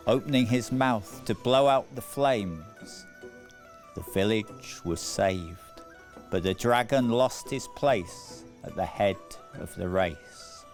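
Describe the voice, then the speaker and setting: Male narrator for a children's folktale: very unhurried, measured, dramatic storytelling, light music underneath. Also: medium pitch. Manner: measured, deliberate, dramatic phrasing.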